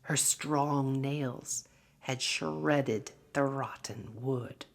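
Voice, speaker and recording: A woman narrating a literary short story in an expressive, dramatic storytelling manner. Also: rumbling low, extremely slow, gravelly, a little hiss or hum. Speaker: story reader.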